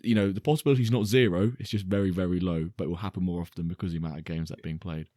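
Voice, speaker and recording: A man with a lowish, slightly croaky voice recounts a football match in animated, casual podcast chat. The recording is close and dry. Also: racing, no room sound. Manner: animated storytelling. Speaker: podcast co-host.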